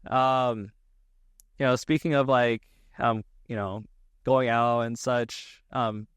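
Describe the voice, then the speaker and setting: Guy hosting a chill chat, smooth clear voice, kinda meandering, no room echo. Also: medium pitch, fairly even intonation, takes it slowly, a bit bright. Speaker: podcast host. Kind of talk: casual interview chat.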